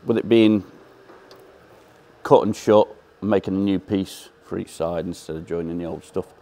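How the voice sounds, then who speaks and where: A low-voiced man reports on his job in a plain, matter-of-fact way. He is speaking inside a vehicle workshop. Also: normal speed, dark tone. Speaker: workshop fabricator. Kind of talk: casual work update.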